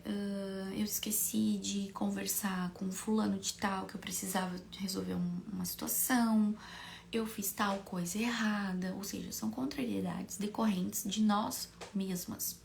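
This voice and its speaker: A Brazilian woman, slightly hoarse with a cold, teaching an informal online class in a conversational, explanatory way with frequent tag questions and rhetorical questions. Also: medium pitch, fairly even intonation, a little slow, darkish tone, slight background noise.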